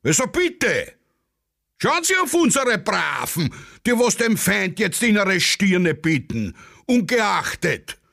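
A man's voice: high-pitched, sing-song, slow, vocal fry, pushed and bright, exaggerated voice. A man playing a muddled, pompous patriot in a radio play, declaiming a rabble-rousing speech with a Viennese accent. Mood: bombastic, fervent. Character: Viennese male street orator, bombastic muddled patriot.

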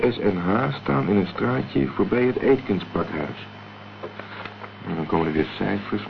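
A man's voice: low voice, gravelly. A man acting a cool, determined amateur investigator in a Dutch radio crime drama, speaking tersely and matter-of-factly. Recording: dull, noisy old radio play recording.

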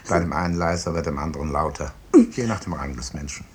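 An actor in a 1950s radio drama playing a grave, coolly authoritative professor of medicine who speaks formally and moralizes. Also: male voice, extremely low pitch, fairly even intonation, fast. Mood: stern, grave. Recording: noisy 1950s radio recording.

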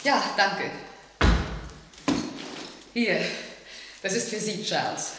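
An actress playing a nervous woman in a vintage radio crime play, speaking haltingly with forced politeness. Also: medium pitch, expressive, very noisy. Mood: polite, uneasy. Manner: hesitant, halting, falsely polite. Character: nervous woman tenant trapped in lift, increasingly frightened.

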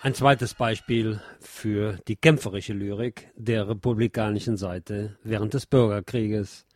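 A man giving a scripted literary talk and reciting poetry in a measured, deliberate, declamatory way, like a radio presenter. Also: lowish voice, steady tone, a little mellow, soft background music.

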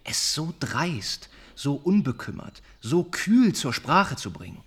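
An actor playing an earnest, wounded young gentleman who confides haltingly and reflectively in a radio drama. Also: on the high side, very animated, slightly rough, full character voice. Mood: indignant, dismayed.

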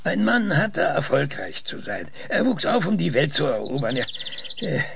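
An actor in a radio drama playing a proud, opinionated old scientist who speaks pompously and searches for words. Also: male voice, fairly high pitch, very animated, normal speed, creaky, background noise, heavily acted. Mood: wistful, proud. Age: older.

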